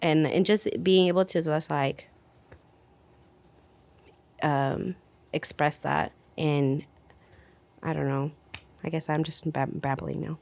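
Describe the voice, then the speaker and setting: A woman in a casual podcast discussion, talking in an animated, chatty way. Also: low-pitched, slow pace, gravelly, pauses often.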